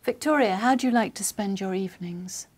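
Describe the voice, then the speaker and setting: Woman asking formal exam questions. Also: mid-range voice. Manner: formal, clear and measured. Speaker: exam interlocutor.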